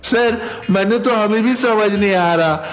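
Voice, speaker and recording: A man's voice: high-pitched, unhurried. A man voicing an earnest, complaining young schoolboy in a cartoon. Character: tattling, teasing young schoolboys and a gentle food-loving boy. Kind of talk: Hindi cartoon dialogue.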